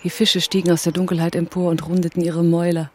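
An actress playing a criminal's sceptical female accomplice in a radio crime drama, husky and measured, with pointed questions. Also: lowish voice, level tone, a little mellow, slight background noise, lightly acted. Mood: reflective narration. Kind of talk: German radio crime drama acting and narration.

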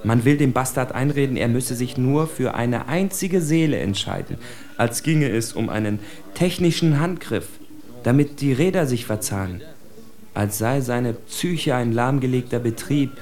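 A man's voice: medium pitch, moderate pace, darkish tone, close to an everyday voice. An actor playing a bitter, analytical spokesman for outcasts, declaiming an articulate literary monologue for radio. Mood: bitter, emphatic. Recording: faint music under the voice. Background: faint music.

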